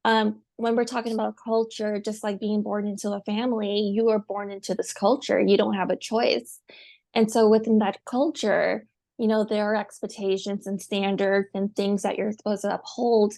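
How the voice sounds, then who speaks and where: A woman from the United States telling her personal story as a guest, speaking softly and haltingly with many pauses. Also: medium pitch, level tone, gravelly. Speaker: guest, survivor. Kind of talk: personal testimony.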